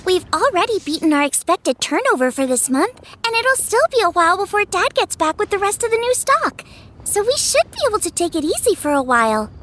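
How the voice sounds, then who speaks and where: Very high, lilting young girl. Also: very animated, fairly quick, a bit bright, a little hiss or hum, heavily acted. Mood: upbeat. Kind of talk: anime game voice acting.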